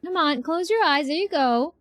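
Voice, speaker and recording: A woman's voice: sits high, animated, moderate pace, fairly bright tone, a little hiss or hum. A woman streamer giving casual, playful live reactions to a game, often repeating short phrases. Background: game music.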